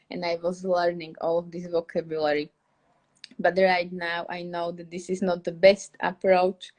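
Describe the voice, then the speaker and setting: A woman's voice: fairly low pitch, poor audio. A young woman from Slovakia with a Slovak accent, casually teaching English on a live stream, chatty and laughing often as she searches for words.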